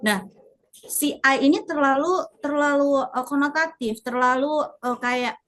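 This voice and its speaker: A woman's voice: high-pitched, a little slow, fairly bright tone. A woman moderating a webinar in a polite, semi-formal but conversational way, checking in with her listeners.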